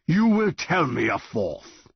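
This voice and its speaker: Ancient tree monster voiced by a man, commanding, slow and grand, husky, dull dry recording. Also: a little high, very animated, dry recording, pitched above the performer's own voice, exaggerated voice. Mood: stern. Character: ancient towering male tree monster, imperious.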